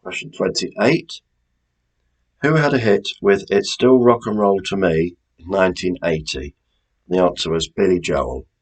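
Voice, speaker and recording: Deep-voiced, slow-paced British man. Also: breathy edge, poor audio. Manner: chatty, informal, repeats questions. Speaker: quiz host. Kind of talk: informal quiz presenting.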